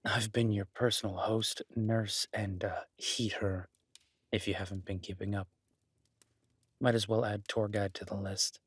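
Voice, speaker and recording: A man's voice: on the low side, little pitch movement, unhurried, slightly rough, no echo. A man playing a teasing, protective woodland cabin host, speaking intimately in an audio roleplay. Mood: playful, reassuring. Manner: teasing, soft-spoken, intimate.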